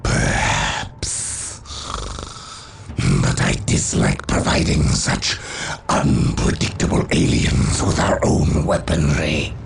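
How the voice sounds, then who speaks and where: An actor playing a scornful, proud Chaos warrior in a video game cutscene, with a gravelly, menacing, disdainful delivery. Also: male voice, extremely low pitch, very animated, slight background noise, heavily acted. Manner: slow, theatrical, disdainful.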